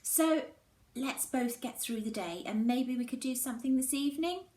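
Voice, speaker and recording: A woman's voice: sits high, normal speed, a little mellow, pitched above the performer's own voice, close to an everyday voice. A woman voicing a worried, persistent schoolgirl in a storybook, with a coaxing, concerned manner. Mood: anxious, probing. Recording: echoey room, faint background noise.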